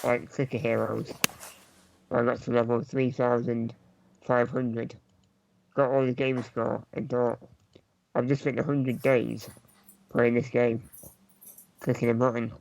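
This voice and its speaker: A man on a gaming podcast panel, talking casually in short, halting pieces. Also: mid-range voice, little pitch movement, gravelly, darkish tone, no echo, low-quality recording.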